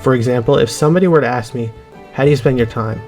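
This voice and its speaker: A man talks through his scripted explainer in a clear, steady teaching voice. His pitch sits in the middle range. Soft music plays faintly behind him. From Newfoundland, Canada. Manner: clear, measured teaching delivery. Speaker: explainer in a played video.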